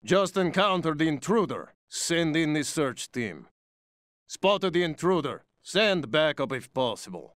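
A man's voice: fairly high pitch, big pitch swings, measured pace, a bit bright, dry recording, clean studio sound. A man playing an alert, dutiful enemy soldier in a video game, giving clipped military reports. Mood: businesslike.

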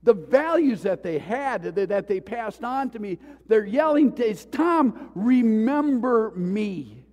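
A man preaching in a church, his voice sitting high for a man. He talks slowly and with feeling, moving from loud pleas to near-whispers. The sound rings with the long reverb of a big hall. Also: lively intonation. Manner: impassioned, swinging from loud pleas to hushed tones. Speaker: pastor. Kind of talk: sermon. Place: church sanctuary.

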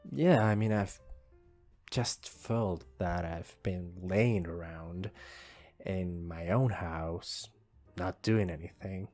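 A young man playing a shy, apologetic employee with a crush, speaking softly and hesitantly in an intimate ASMR roleplay. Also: deep voice. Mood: shy, earnest. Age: young adult. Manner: hesitant, soft-spoken, halting. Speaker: voice actor. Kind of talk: ASMR roleplay, intimate one-sided conversation. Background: soft guitar music.

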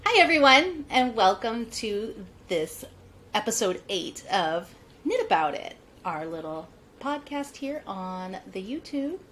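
A woman's voice: mid-range voice, big pitch swings, slow pace, fairly bright tone. Upbeat woman hosting a video-call chat. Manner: upbeat, chatty, laughs often. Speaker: podcast host. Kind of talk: casual chat. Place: home room with bookshelves, indoors. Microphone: earbuds on a video call.

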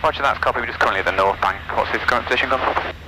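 A man's voice: medium pitch, rapid-fire. A young man piloting a small plane, making clipped radio calls over an aviation headset. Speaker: private pilot. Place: inside a small aircraft in flight. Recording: noisy light aircraft cockpit intercom. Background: engine and radio noise.

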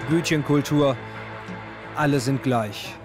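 A man's voice: mid-range voice, slow, over music. A man narrating a documentary in short, clipped phrases. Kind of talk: documentary voice-over.

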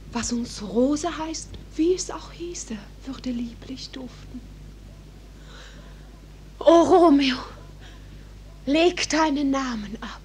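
A woman playing an ardent, anxious girl in love in a 1940s radio play, speaking classical verse in a breathy, hushed declamation. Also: high voice. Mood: wistful longing. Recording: hissy 1940s radio recording.

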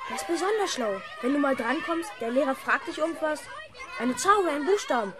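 A cocky, cunning young schoolboy in an old children's radio play. He persuades emphatically in a very high, animated voice, with a crowd of children behind. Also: quick pace. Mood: persuasive, secretive.

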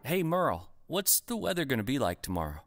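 An upbeat everyday homeowner, casual and easily pleased, with a lively delivery. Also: male voice, medium pitch, dry recording. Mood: cheerful. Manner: casual and cheerful, quick to laugh. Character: easygoing male office worker, upbeat, a new gadget owner. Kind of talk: comic radio play.

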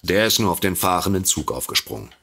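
A droll writer-sidekick for a comic radio play. The voice is low and raspy, with very lively melody. He teases smugly and lingers on his emphasis. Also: quick pace, full character voice. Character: droll, self-satisfied male writer and detective's sidekick. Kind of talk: comedic audio drama acting.

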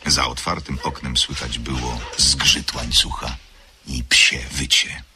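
A man's voice: rumbling low, little pitch movement, slow pace, music in the background. A man narrating a literary radio drama of suspense as a solemn storyteller. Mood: grave, measured. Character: solemn male storyteller of a gothic tale.

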